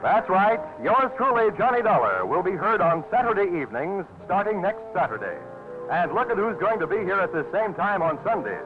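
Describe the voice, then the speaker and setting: A man gives credits and promotions in a lively 1940s network announcer style. His voice is high and swings widely. The sound is tinny, with music behind him. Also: brisk. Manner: bright, lively promotional delivery. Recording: tinny old radio broadcast with music.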